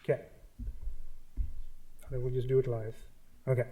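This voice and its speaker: A man's voice: mid-range voice, little pitch movement, normal speed, dark tone. A man with a Pakistani accent giving a live artist talk at a museum, nervous and full of ums, restarts and asides. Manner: nervous, many fillers and restarts. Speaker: artist speaker.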